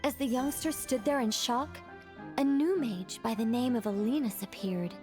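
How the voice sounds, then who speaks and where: Game mage storyteller, woman actor: young, lightly high-pitched, poised, playful, theatrical narration, faint music behind. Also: normal speed, a little mellow, lightly acted. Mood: dramatic. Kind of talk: video game voice acting, dramatic narration.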